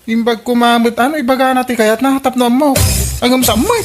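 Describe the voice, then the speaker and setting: A man's voice: extremely high pitch, steady tone, quick pace, loud music behind the voice. A man with an Ilocano accent playing a boastful, lovesick young suitor in a radio comedy drama. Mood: boastful, puzzled.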